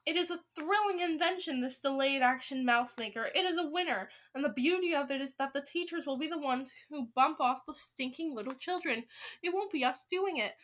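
Woman reading as imperious Grand High Witch, bright-voiced. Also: a little fast. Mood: gleeful scheming. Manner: commanding, haughty. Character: imperious, scheming female leader of witches.

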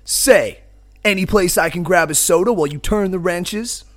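A man's voice: sits high, moderate pace, pitched above the performer's own voice, close to an everyday voice. A man playing a cocky, brooding biker drifter in an amateur radio play parody, with dramatic hard-boiled delivery. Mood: cool, terse.

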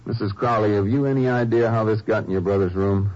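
A steady, unhurried lawman, played by an actor, questions suspects in a very low, mellow voice. The old radio broadcast is muffled with faint hiss. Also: natural voice. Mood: calm questioning. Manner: calm, dry, methodical questioning. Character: steady, calm male Texas Ranger investigator. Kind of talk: 1950s radio drama acting.

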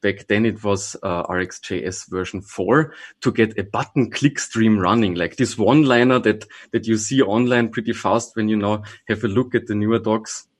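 A man's voice: lowish voice, normal speed, hardly any pauses. A man, a trainer and consultant, talking casually as a podcast guest and thinking aloud with restarts. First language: German. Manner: relaxed, thinking aloud with restarts and small laughs.

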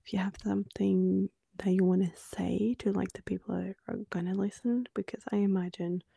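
A woman in her late twenties with a Norwegian accent that carries Australian notes, talking frankly on a podcast. Her voice is heavily gravelly with vocal fry and has a dark, husky tone. She often softens into near-whispers, and the sound is dry and close. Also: mid-range voice, fairly even intonation, normal speed, dry recording. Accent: Norwegian with Australian-English tinge. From Norway. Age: 20s. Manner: soft-spoken, drifting into hushed near-whispers. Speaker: podcast co-host. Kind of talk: candid conversational podcast chat.

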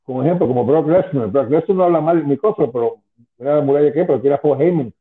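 A man's voice: fairly high pitch, fast talker. A man in his fifties, an interview guest who rambles with many restarts and asides. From Spanish-speaking, moved to the US at ten. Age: 50s. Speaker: guest, former wrestling manager. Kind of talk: casual interview on a call. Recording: tinny phone line.

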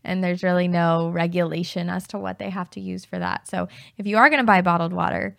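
A woman co-hosting a chatty home-health podcast, her voice rough and gravelly because she is losing it. She talks in a conversational way with quick asides. The sound is close and dry. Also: on the low side, steady tone, no echo. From Florida. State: losing her voice, deeper than usual. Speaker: podcast co-host. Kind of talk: casual chat.

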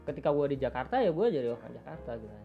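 Man, from Java, podcast host, lively slangy chat, dull sound, soft music behind. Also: mid-range voice, very fast. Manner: animated, chatty, slangy. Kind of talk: casual chat.

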